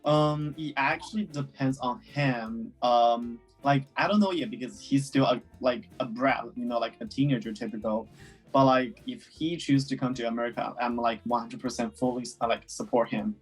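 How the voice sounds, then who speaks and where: A man's voice: medium pitch, fairly even intonation, normal speed, slightly rough, soft background music, low-quality recording. A man in his twenties from China, Chinese his first language, answering interview questions casually with many fillers. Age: 20s. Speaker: guest.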